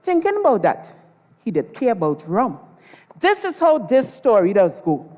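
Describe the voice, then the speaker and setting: A woman's voice: on the high side, huge pitch swings, moderate pace, darkish tone. A woman playing a Trinidadian storyteller who recounts folklore theatrically, speaking straight to the audience. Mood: relishing, theatrical. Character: folklore storyteller recalling a grandparent's old tales. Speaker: storyteller, narrator. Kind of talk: dramatized dialect storytelling. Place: large performance hall, on stage. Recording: echoey hall with long reverb.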